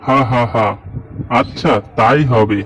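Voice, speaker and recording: Narrator doing a low, easygoing cartoon jackal. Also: slow pace, a bit bright, slight background noise, low-quality recording. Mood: obliging, amused. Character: sly, agreeable jackal playing the obliging friend. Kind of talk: children's cartoon folktale dialogue.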